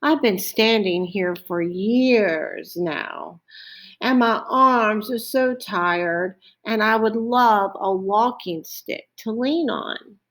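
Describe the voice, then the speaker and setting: A weary, wistful scarecrow from a children's book, played by a woman. The words are drawn out slowly at a medium pitch. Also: fairly bright tone. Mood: weary wishing. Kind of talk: storybook character voice.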